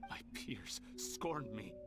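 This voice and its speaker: A man's voice: lowish voice, sing-song, slow pace, over loud music. A man playing a bitter, defensive scheming researcher turned criminal in a video game, theatrical and scornful. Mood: bitter, resigned. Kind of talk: dramatic video game voice acting.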